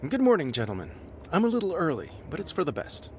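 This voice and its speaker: A man's voice: on the high side, wildly swooping pitch, fast, faint music behind. A man playing a fussy, eager police doctor in a period visual novel, explaining himself expressively. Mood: fussy, apologetic.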